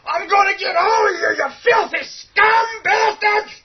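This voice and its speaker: An actor playing a gruff, resolute middle-aged working man in a horror radio play, speaking in a folksy, commanding way. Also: very high voice, measured pace, full character voice. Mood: furious. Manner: folksy colloquial drawl, commanding, rough-spoken. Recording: dull, noisy lo-fi audio.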